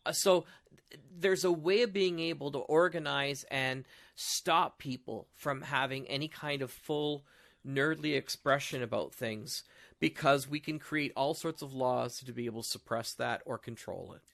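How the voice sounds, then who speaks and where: Male podcast co-host, middle-aged, sharp bright tone, mid pitch, argumentative casual talker. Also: normal speed, gravelly. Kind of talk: animated casual panel discussion.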